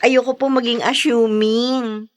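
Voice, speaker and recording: A woman's voice: on the high side, steady tone, a little slow, no echo, natural voice. A woman playing a modest, playful young daughter in a Filipino audio drama, with a coy manner. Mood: soft, coy.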